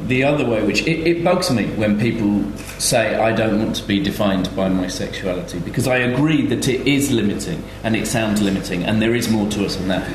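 A man's voice: fairly low pitch, fairly quick, hardly any pauses. A man speaking as a panellist in an informal discussion, emphatic and often repeating his phrases. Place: echoey room at a live event. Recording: echoey room, faint noise.

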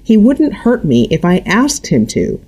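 A guarded grown daughter who pushes back with clipped, strained replies, voiced by a woman reading a novel. Her voice rises above the reader's usual low pitch and moves a great deal. It carries a husky edge. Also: wildly swooping pitch, measured pace, warm tone, full character voice. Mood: firm. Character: tense adult daughter, guarded and defensive.

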